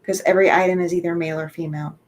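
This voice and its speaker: A woman's voice: fairly low pitch, steady tone, very fast. A woman with a mild Southern US accent chatting casually in a group. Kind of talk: casual chat.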